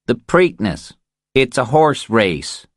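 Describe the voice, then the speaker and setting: A man's voice: mid-range voice, very animated, slow pace, no room sound, studio quality, voice pushed up. A man doing a cocky, needling young rancher.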